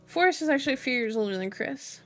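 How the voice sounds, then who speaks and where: A woman's voice: high-pitched, expressive, quick pace. A woman reading a novel aloud in an ASMR style with chatty, playful asides, as the host of her channel. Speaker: book reader, channel host. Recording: music behind the voice. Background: music.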